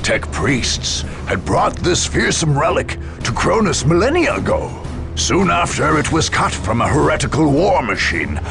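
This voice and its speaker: Man narrating gravely, gravelly and dramatic. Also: a little high, big pitch swings. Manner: grave, portentous, measured storytelling. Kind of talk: scripted dramatic narration for a war game's story cutscenes. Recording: dramatic music behind the voice. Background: music.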